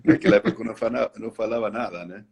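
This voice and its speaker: A man's voice: lowish voice, rapid-fire, gravelly, husky. A middle-aged man from Argentina whose first language is Spanish, with an Argentine Spanish accent, telling his story as a guest on a live interview and hesitating often. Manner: hesitant, restarts phrases, frequent "né?". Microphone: video call.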